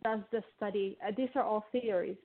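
A woman's voice: medium pitch, fairly even intonation, a little fast. A woman from Lebanon, a guest financial therapist, explaining her views in a thoughtful way with frequent restarts. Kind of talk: casual interview talk. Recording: tinny phone-like line.

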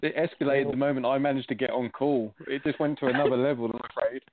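A man's voice: medium pitch, fairly even intonation, quick pace, over a noisy crowd. Guy ribbing people on a call, kinda mock-posh, sounds like a phone line. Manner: teasing, mock-pompous. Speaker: guest on call. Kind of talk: joking banter on a call. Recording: tinny call audio.

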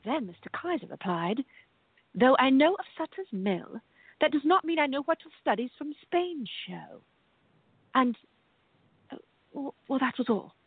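A woman's voice: high-pitched, very animated, measured pace, pauses often, husky, no echo, full character voice. A woman playing a genteel, tender lady novelist with formal period diction in a radio play. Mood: troubled. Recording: dull lo-fi, music behind.

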